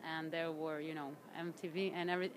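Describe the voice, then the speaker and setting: Singer from the old ussr, a bit of noise around. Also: female voice, low voice, level tone, fast talker. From Soviet Union. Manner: slightly non-native English. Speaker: band singer. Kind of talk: casual interview chat. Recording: background noise.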